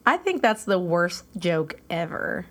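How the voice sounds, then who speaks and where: A woman's voice: lowish voice, sing-song, a bit bright. A woman co-hosting a podcast, joking around in casual chat, with a lisp. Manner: jokey, quick to laugh.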